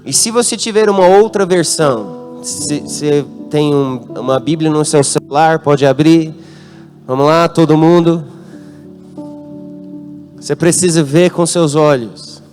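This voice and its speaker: An American man preaches with a voice that sits a touch high for a man. He asks the congregation to call out answers and speaks with an American accent. Soft instrumental music plays faintly underneath. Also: unhurried. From United States. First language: English. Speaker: guest pastor. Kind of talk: sermon. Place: church hall.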